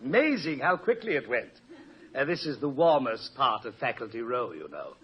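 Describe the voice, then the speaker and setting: A man's voice: medium pitch, sing-song, moderate pace, a crowd in the background, lightly acted. An actor playing a vain, self-satisfied college professor in a 1950s radio comedy, pompous, hemming and fussy in his talk. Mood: cheery. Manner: pompous, hemming, chuckles at himself. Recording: muffled old radio recording.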